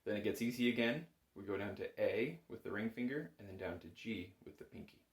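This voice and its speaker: A man's voice: on the low side, lively intonation, fairly quick. A man giving casual, reassuring step-by-step instruction as a music teacher, recorded indoors in a small room. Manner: reassuring, informal, explains step by step. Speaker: bagpipe instructor. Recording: roomy, echoing small room.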